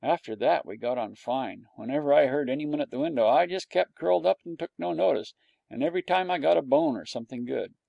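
A man's voice: medium pitch, lively intonation, fairly quick, creaky, no echo, dull audio. A man reading a storybook aloud in a wry storytelling manner. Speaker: audiobook narrator.

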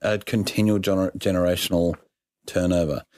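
A man's voice: low-pitched, steady tone, normal speed, a little mellow, no echo, clean studio sound. A man co-hosting a sports podcast, giving short agreeing replies and teasing his guest. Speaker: podcast co-host. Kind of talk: casual sports chat.

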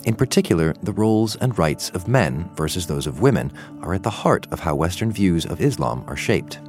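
Deep-voiced male narrator with expressive delivery. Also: brisk, faint music behind. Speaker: podcast narrator and journalist. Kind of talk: scripted narration.

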